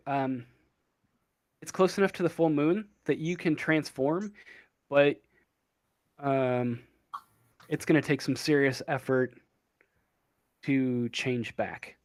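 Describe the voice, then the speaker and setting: A man with a fairly high voice runs a tabletop game, improvising descriptions. He speaks slowly and haltingly, searching for the next word. The sound is close and dry. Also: smooth, no room sound. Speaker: game master.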